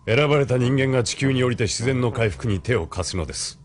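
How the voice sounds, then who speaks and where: An actor in a Japanese audio drama playing a cool, formally polite military colonel who speaks in a measured, probing way. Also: male voice, mid-range voice, lively intonation, slight background noise, close to an everyday voice. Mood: composed, formal.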